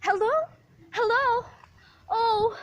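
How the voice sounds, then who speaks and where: Actress as demanding, nervy invalid woman for a radio thriller; very high voice, imperious, agitated, repeats herself in exasperation. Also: little pitch movement, slow, heavy background noise. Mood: anxious, exasperated. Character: nervous, demanding, bedridden invalid woman, panicky and irate. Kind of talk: amateur radio play suspense acting.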